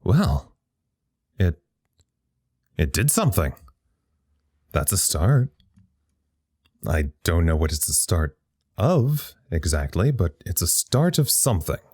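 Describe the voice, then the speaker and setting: A man playing a wry, tired research scientist reporting live in an audio drama, halting and understated. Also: low-pitched, big pitch swings, a little mellow, slightly rough, dry recording, clean studio sound, exaggerated voice. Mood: reflective, weary. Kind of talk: audio drama acting as a live radio log.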